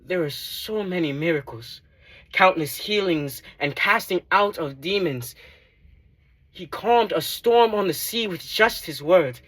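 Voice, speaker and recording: A man playing a devout former tax collector in a dramatic monologue, giving earnest, measured testimony. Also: high voice, animated, a bit bright, pitched above the performer's own voice, full character voice. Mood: fervent, awed. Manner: earnest, measured, emphatic storytelling.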